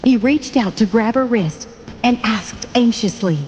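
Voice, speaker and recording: A woman's voice: a little high, a little slow, darkish tone, long reverb tail, faint music behind. A woman narrating a dramatic story from a script.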